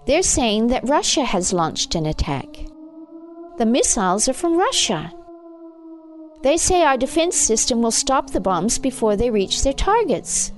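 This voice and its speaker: A woman's voice: on the high side, big pitch swings, a little slow, voice pushed up. A woman voicing a pragmatic, impatient young older sister in an audiobook, giving urgent commands. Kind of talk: audiobook character voice.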